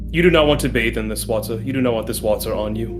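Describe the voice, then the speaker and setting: Mid-pitched male game player over music. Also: quick pace. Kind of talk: casual table chat. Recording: music bed behind voice.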